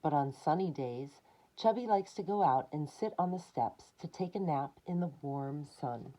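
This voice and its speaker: A woman's voice: sits low, animated, normal speed, mellow, rough recording. A woman reading a picture book aloud to children, expressively, with short asides of her own.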